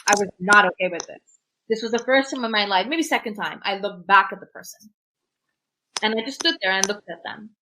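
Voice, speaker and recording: Woman, urdu first language, bright voice, keeps asking "right?", talks things through. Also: a little high, slight background noise, rough recording. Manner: emphatic, repeats "right?" to check in. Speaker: podcast guest, technologist. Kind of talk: reflective conversational advice.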